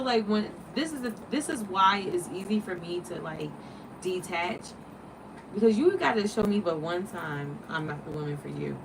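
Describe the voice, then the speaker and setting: A woman's voice: on the low side, distant mic, background noise. A woman in her late thirties hosting a livestream, talking casually and animatedly, preaching and firing off rhetorical questions. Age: 30s. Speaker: livestream host.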